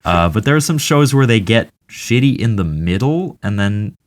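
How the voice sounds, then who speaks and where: A male podcast co-host with a fairly low voice, chatting in loose, casual banter. His humor is wry and self-deprecating. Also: lively intonation, moderate pace.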